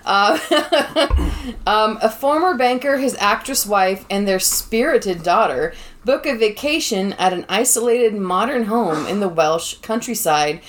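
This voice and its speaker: A woman's voice: mid-range voice, animated, measured pace, slight background noise. A woman in her thirties hosting a podcast in a chatty, casual way, laughing often. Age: 30s. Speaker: podcast host and lecturer. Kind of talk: casual chat.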